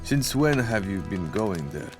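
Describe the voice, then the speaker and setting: An actor playing a gruff, down-to-earth officer with a blunt, questioning way of talking. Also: male voice, low-pitched, very animated, unhurried. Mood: probing. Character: gruff, down-to-earth male officer investigating a disaster. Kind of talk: video game voice acting. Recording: music behind the voice.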